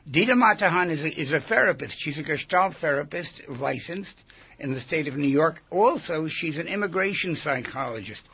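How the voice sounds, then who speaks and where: A man with a very husky, gravelly voice hosts a radio interview in a conversational way. He circles back and rephrases his questions as he probes. The audio is somewhat dull and lo-fi. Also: a little high, expressive, normal speed. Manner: probing, repeats and rephrases questions. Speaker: radio show host. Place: radio studio.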